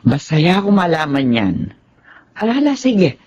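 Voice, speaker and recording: Lowish, animated older mother. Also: unhurried, slightly rough, dull audio, exaggerated voice. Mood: pleased. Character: caring, expressive older mother and mother-in-law. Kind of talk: Filipino radio drama acting.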